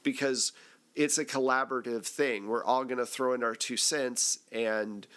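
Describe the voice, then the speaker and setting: A man's voice: mid-range voice, steady tone, normal speed, a bit bright. A man hosting a casual group discussion on a podcast, asking questions and commenting in a conversational way. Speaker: host and game master.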